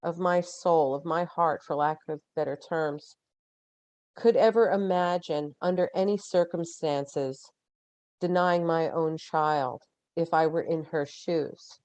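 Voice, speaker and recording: Fifties woman on a podcast, thoughtful and earnest, kinda low pitch, dead dry mic. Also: fairly even intonation, darkish tone, dry recording. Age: 50s. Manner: earnest, reflective, pauses to search for words. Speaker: guest, genetic genealogist. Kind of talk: podcast interview.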